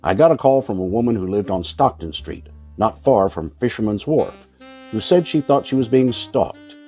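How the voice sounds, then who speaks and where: A man's voice: on the low side, lively intonation, normal speed, dark tone, soft background music, close to an everyday voice. A man playing a wry, world-weary private eye, narrating dryly in an old-time radio noir style. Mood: dry, sardonic. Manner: dry, sardonic. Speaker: lead actor and narrator.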